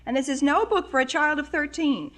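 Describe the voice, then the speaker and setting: High, bright, snippy young daughter. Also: female voice, a little fast. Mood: smug. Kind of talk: 1950s radio comedy acting.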